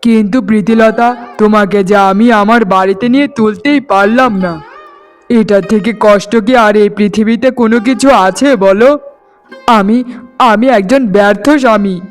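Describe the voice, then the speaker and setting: A man's voice: sits high, steady tone, normal speed, faint music behind, natural voice. A man voicing an earnest young husband in a cartoon melodrama, heartfelt, dramatic and insistent. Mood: sorrowful. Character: earnest, emotional young husband devoted to his bride.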